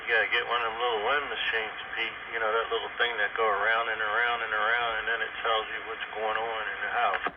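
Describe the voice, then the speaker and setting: A man's voice: on the low side, little pitch movement, fast, few pauses. A man chatting casually over two-way radio in a folksy, colloquial way, often repeating himself. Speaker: amateur radio operator. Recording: noisy, muffled two-way radio transmission.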